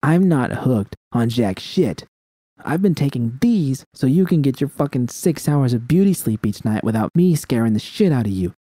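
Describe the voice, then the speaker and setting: A man's voice: mid-range voice, expressive, moderate pace, dark tone, dry recording, pitched above the performer's own voice, lightly acted. A man voicing a combative, foul-mouthed young woman mercenary, emphatic and wounded, in dramatic audio fiction. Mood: furious, defensive.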